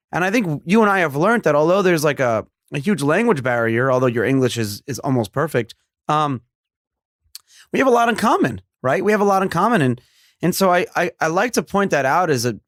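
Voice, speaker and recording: Podcast host, young New man, emphatic and repetitive, raised pitch, dry. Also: no room sound. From New York. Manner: earnest, emphatic, rambling with repeated phrases. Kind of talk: casual interview chat.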